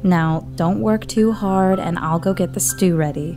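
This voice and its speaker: A woman's voice: lowish voice, measured pace, dark tone. A woman playing a playful, caring live-in assistant who teases her elderly employer. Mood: teasing. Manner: teasing, playful. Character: young American woman assistant, teasing and caring. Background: music.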